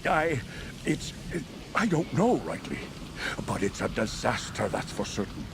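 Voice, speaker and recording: A man's voice: on the low side, big pitch swings, unhurried, vocal fry, noisy background, full character voice. A man playing a nervous, self-interested merchant in a fantasy video game, dramatic and a bit hesitant. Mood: alarmed. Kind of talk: video game character acting.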